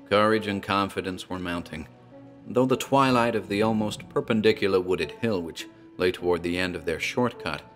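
A man with a very low voice reads a horror tale in a measured, grave manner. Soft music sits quietly behind him throughout. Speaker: narrator. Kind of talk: scripted horror narration.

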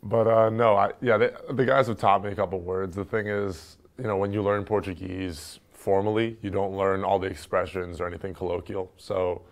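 A young man who grew up in Turkey, a basketball player, answering interview questions in a relaxed, chatty way with lots of fillers, recorded on a lapel mic indoors. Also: deep voice, normal speed, few pauses. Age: young adult. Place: indoor room with wood-panel wall.

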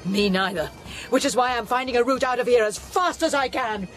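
A woman in a radio drama playing a defiant, alarmed doctor with a sharp, determined way of talking. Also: a little high, a little fast, soft background music, close to an everyday voice.

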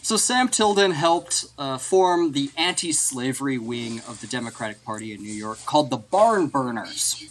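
A man's voice: mid-range voice, animated, normal speed, hardly any pauses, a little hiss or hum, rough recording. A man hosting a casual history podcast, explaining events from his notes and often laughing with his co-hosts. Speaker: podcast host presenting history.

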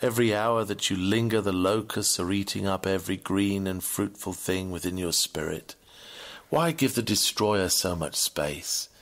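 Low-pitched man reading an earnest sermon. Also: a bit bright. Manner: earnest, measured, oratorical delivery. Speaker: sermon reader. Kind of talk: reading a Victorian sermon aloud.

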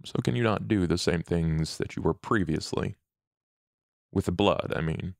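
A man role-playing a cautious male vampire confidant in a tabletop game, talking in a measured, thoughtful manner. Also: sits low, gravelly, dry recording, studio quality. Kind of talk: improvised tabletop role-play acting.

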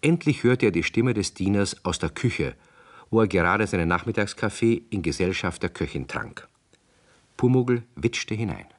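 A man's voice: lowish voice, lively intonation, normal speed. A man narrating a 1960s German radio play as a scripted storyteller.

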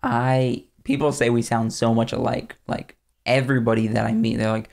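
Easygoing young man, gravelly voice. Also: medium pitch, little pitch movement, moderate pace, darkish tone. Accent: slight Southern US. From Pennsylvania, United States. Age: young adult. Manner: easygoing, rambling, restarts thoughts. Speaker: podcast co-host. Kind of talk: casual chat. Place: small studio room indoors. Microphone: studio mic on boom arm.

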